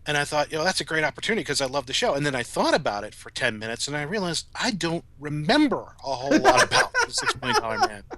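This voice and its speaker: A man's voice: fairly high pitch, wildly swooping pitch, fast talker, few pauses, bright, forceful tone. A middle-aged man speaking as a podcast guest in casual, reflective conversation.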